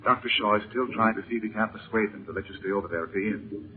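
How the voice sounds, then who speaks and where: An actor playing a steady, protective adventure hero, grave and earnest in 1930s radio style. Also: male voice, fairly low pitch, rapid-fire, close to an everyday voice. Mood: grave, reassuring. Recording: tinny narrow-band 1930s radio recording.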